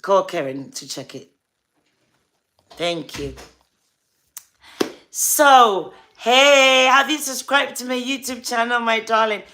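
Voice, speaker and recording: A woman with a Ghanaian accent hosts a relaxed livestream, chatting with her viewers as they come in. Her voice is bright and forward, and she talks at an easy, unhurried pace, pausing often. She greets people by name and keeps coaxing them to subscribe. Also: on the high side, a little hiss or hum. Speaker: livestream host and gospel artist. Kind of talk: casual livestream chat.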